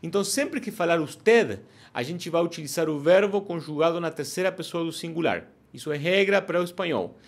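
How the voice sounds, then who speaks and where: A man teaching Spanish to learners in a relaxed online lesson, explaining step by step and often checking in with "ok?". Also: on the high side, a little fast.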